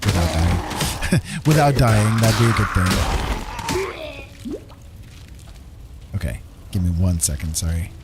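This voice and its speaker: A man's voice: fairly low pitch, huge pitch swings, takes it slowly, long pauses, heavy background noise. A middle-aged man giving casual, easygoing live gameplay commentary, thinking aloud as he chats with viewers. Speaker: game streamer. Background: loud game music.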